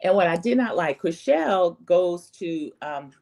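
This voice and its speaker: A woman's voice: on the low side, lively intonation, unhurried, gravelly, a little mellow, muffled recording. A woman with a slightly scratchy voice giving a casual, chatty, opinionated recap as the host of a reality TV commentary channel. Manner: opinionated, rambling storytelling with acted-out quotes.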